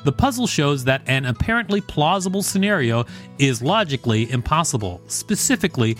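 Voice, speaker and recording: A man's voice: on the high side, sing-song, brisk, a bit bright, soft background music. A man hosting a podcast, reading an encyclopedia article aloud with joking, informal commentary. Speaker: podcast host.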